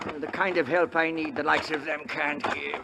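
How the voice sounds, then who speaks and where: An actor playing a cantankerous old Irish wagon driver, dramatic and blustery, in a 1950s radio western. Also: male voice, fairly high pitch, level tone, normal speed, vocal fry, noisy background. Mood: grumbling, hurt. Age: older.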